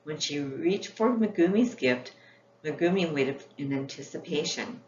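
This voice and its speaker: A woman's voice: low-pitched, expressive, unhurried, darkish tone. A woman reading scripted children's storybook narration as its author. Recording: echoey room, dull sound, faint noise.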